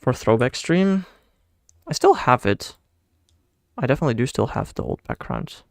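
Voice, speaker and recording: A man's voice: medium pitch, huge pitch swings, normal speed, vocal fry, no room sound. A man from the Netherlands with a Dutch accent, chatting casually on a live stream and rambling in a self-deprecating way. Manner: rambling, self-deprecating, thinks aloud with restarts.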